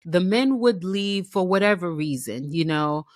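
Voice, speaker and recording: A woman from Haiti hosts her own show and tells a personal story in an earnest, reflective way. She speaks slowly, in a lowish voice, and often repeats a phrase for emphasis. The recording is dry and close, with no room sound. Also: expressive, no echo. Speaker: host.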